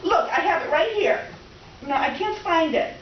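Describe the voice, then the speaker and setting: An actress plays a melodramatic middle-aged old flame in a comic stage reading, her voice very high and lively. She gushes, clings and teeters between giggles and tears. The recording is muffled, with some noise. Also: normal speed. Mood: wounded, tearful. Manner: gushing, theatrical, swinging from laughter to tears. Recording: roomy, distant, muffled noisy live recording.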